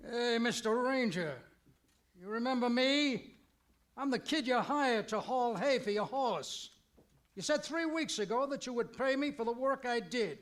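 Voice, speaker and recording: Very high-pitched indignant farm kid. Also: male voice, animated, a little slow, a bit bright, heavily acted. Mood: demanding. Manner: folksy, insistent child-like delivery. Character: demanding young farmhand boy, indignant and cocky. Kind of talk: old-time radio comedy acting.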